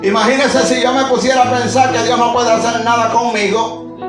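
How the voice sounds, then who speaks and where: A man's voice: extremely high pitch, little pitch movement, a little fast. A man from Honduras who is a pastor, preaching a Pentecostal sermon in a church and often breaking in with short cries of praise. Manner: preaching with frequent interjections of praise. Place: church sanctuary. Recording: echoey hall recording from far off, music behind.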